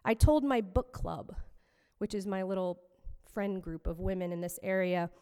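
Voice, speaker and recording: A woman minister giving a personal, reflective sermon in a measured way. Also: mid-range voice, a little mellow, smooth. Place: fellowship hall. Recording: long hall reverb.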